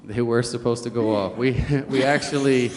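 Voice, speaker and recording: A man's voice: medium pitch, steady tone, normal speed. A man giving an informal briefing as a city official at a public meeting. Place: large indoor council chamber. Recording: very echoey hall sound.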